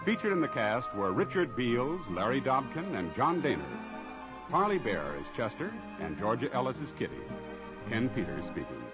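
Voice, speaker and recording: A man's voice: medium pitch, very animated, moderate pace. A man with an American accent reading scripted credits as an announcer in 1950s radio style. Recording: dull old radio recording, music behind. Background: music.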